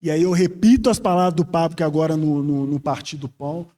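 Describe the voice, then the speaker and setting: A Brazilian man teaches scripture as a preacher. His voice sits high for a man and has a soft, mellow tone. He speaks earnestly and reflectively, often in rhetorical questions. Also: brisk. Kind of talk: expository Bible teaching sermon.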